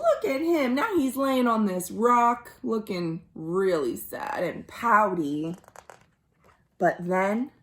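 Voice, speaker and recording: Very slow, high-voiced woman storytime reader. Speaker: children's librarian, storytime reader. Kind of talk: expressive read-aloud for young children.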